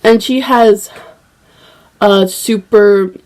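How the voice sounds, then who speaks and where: A woman's voice: medium pitch, fairly even intonation, very slow, darkish tone. A young woman chatting casually about books as a vlogger, rambling with lots of fillers and restarts, a little congested from a cold. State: slightly congested with a cold, occasional cough. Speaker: book vlogger. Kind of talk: casual chat.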